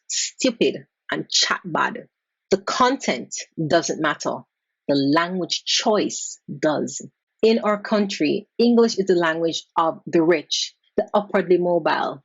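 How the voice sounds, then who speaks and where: Woman, Jamaican accent, husky, lowish voice, emphatic explainer. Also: muffled recording. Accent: Jamaican, Kingston. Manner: clear, emphatic, slows down to stress points. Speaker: language vlogger. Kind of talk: educational explainer.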